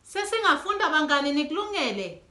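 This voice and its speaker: A woman reading a children's storybook aloud in an animated way as host. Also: high voice, fast. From South Africa. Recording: echoey room.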